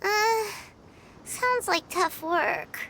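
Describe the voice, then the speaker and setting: A woman voicing a small, cheerful, childlike companion in a game with a squeaky voice. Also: extremely slow, pushed and bright, slight background noise, heavily acted. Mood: puzzled. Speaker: game character. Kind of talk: game dialogue.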